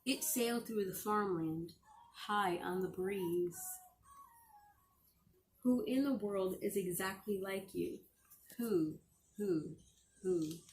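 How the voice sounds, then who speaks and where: A middle-aged woman reading a picture book aloud to young children as a teacher, expressively and with deliberate, drawn-out phrasing. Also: medium pitch, slight background noise, poor audio.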